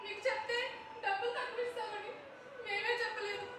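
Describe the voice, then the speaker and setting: A woman's voice: very high voice, level tone, brisk. A woman on stage playing a poor village woman who speaks of her hard life, heard from far off in an echoey, noisy hall. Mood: sorrowful. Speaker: stage actor. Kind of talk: live stage play acting. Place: theatre stage.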